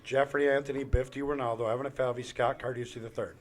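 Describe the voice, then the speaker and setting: A man's voice: mid-range voice, steady tone, quick pace. A man guesting on a casual podcast, brash and full of wisecracks.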